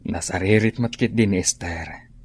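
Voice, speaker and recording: A man's voice: low-pitched, unhurried, a little mellow, slight background noise. A man playing a hardworking, stoic husband and father in a radio drama, with a northern Philippine accent. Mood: earnest, determined.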